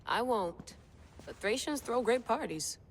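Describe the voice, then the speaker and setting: An actress playing a driven, dry-witted tech-savvy assassin in a video game cutscene, speaking in an assured, wry way. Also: female voice, a little high, expressive, measured pace, slight background noise. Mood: wry, confident. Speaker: game character, researcher.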